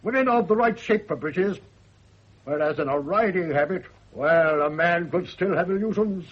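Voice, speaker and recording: High, husky crotchety old British general. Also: male voice, moderate pace. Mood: opinionated. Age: older. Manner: blunt, bossy, opinionated. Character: crotchety, domineering old retired general and father. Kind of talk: 1970s radio drama acting. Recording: dull lo-fi old radio recording.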